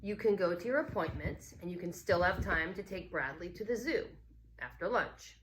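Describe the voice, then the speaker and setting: A woman's voice: fairly low pitch, animated, normal speed, background noise, lightly acted. A woman voicing a grumpy, short-tempered father in a storybook, speaking in a stern, commanding manner. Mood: firm, settling.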